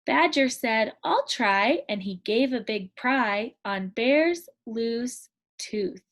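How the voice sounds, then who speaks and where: A woman teacher reading a picture book aloud to children in a clear storytime manner. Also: on the high side, slow pace.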